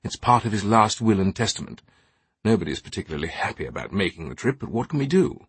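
A man's voice: fairly low pitch, very animated, fast talker, slightly rough, no room sound, exaggerated voice. A man voicing an imperious, scheming older British knight with a haughty upper-class accent, in an audiobook. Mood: confiding, haughty. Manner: pompous, haughty.

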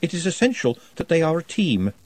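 A man's voice: fairly high pitch, very animated, brisk, no echo, voice pushed up. A man voicing a nervous, formal young scholar who speaks precisely and earnestly.

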